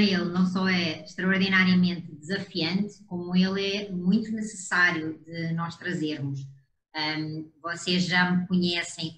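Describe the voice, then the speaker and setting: A woman from Portugal with a European Portuguese accent, hosting a conversation show in a measured, assertive way with frequent hesitations. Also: fairly low pitch, fairly bright tone, roomy sound, rough recording. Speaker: host.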